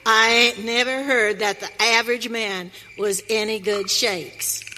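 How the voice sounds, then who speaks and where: A woman's voice: fairly high pitch, steady tone, close to an everyday voice. A woman playing a feisty pioneer wife in a western radio play, with an American accent and folksy, emphatic frontier speech. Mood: scornful. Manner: folksy frontier dialect, emphatic, drawn-out delivery. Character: Maggie, feisty pioneer wife on a wagon train. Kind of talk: live western radio theater acting. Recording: hall reverb, faint noise.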